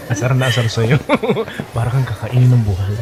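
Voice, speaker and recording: A man's voice: mid-range voice, big pitch swings, moderate pace, a loud crowd, natural voice. A man playing a protective, teasing college-age best friend in a Filipino radio drama, breezy and playful. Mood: teasing, amused. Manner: breezy, playful, laughs often. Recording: music bed under dialogue.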